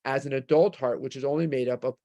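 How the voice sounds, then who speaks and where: A man's voice: mid-range voice, fairly even intonation, quick pace, dark tone, dull audio. A man with a New York accent giving a medical lecture with slides, explaining things step by step and posing rhetorical questions.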